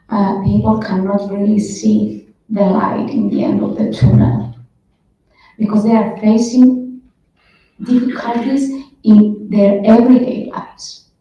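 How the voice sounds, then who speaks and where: A woman's voice: medium pitch, gravelly, mellow, a little hiss or hum. A woman speaker answering an audience question at a conference, hesitant with many fillers, recorded from far off in a hall. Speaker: plenary speaker. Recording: roomy hall, far from the mic, dull.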